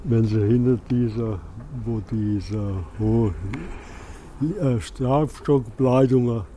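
A middle-aged or older local man with a dark, mellow voice at medium pitch. He gives casual, plain answers and often breaks off to start again. Faint outdoor noise sits behind him. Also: fairly even intonation. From rural Hesse, Germany. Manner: halting, plain-spoken, breaks off and restarts sentences. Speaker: local resident being interviewed. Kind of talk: casual spontaneous interview answers. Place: outdoors in a quiet rural village.

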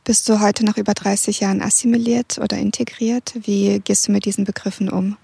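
A woman interviewer with a creaky, husky voice, recorded close and dry. She delivers prepared questions and introductions as a presenter. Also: mid-range voice, level tone, fairly quick, dark tone, no echo.

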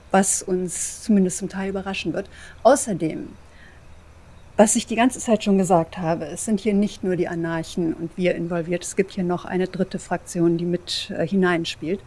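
A woman's voice: on the low side, darkish tone. A woman playing a cautious, cryptic vampire seer in an audio drama, explaining earnestly and hesitantly in circles. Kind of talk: improvised role-play audio drama.

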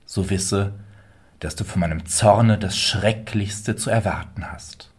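A man playing a stern, commanding rich husband and villain in a fairy tale, speaking formally and deliberately. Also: lowish voice, breathy edge. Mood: stern, menacing. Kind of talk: dramatic fairy-tale reading. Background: music.

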